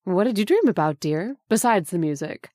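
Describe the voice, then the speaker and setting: A woman playing a gentle, puzzled mother who tenderly questions and coaxes her young son, in old-time radio drama style. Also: mid-range voice, animated, moderate pace, no room sound, studio quality, natural voice. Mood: curious, coaxing.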